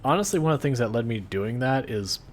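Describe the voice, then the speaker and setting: A man streaming a game live, talking through his play in a calm, soothing, laid-back way. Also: mid-range voice, fast, a little hiss or hum. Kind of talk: casual live stream gameplay commentary.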